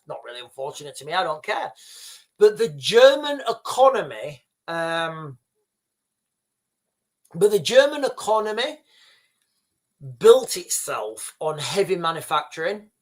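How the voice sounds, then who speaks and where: Rambling man livestreaming. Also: sits high. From Yorkshire, England. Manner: rambling, repeats and restarts phrases, often addresses viewers as "guys". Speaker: livestream host reading viewer comments. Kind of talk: casual livestream commentary.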